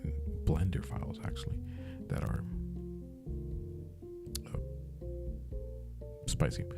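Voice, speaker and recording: A man chatting casually on a livestream while he works, in a slightly raspy, breathy murmur, rambling, hesitant, self-deprecating and casually profane. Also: takes it slowly. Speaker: livestreamer, animator. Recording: music playing behind the voice. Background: plucked guitar music.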